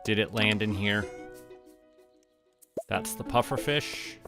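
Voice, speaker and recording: A man giving relaxed game stream commentary, casually thinking aloud and talking to himself and the characters. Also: on the low side, slow, vocal fry, music in the background. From Canada.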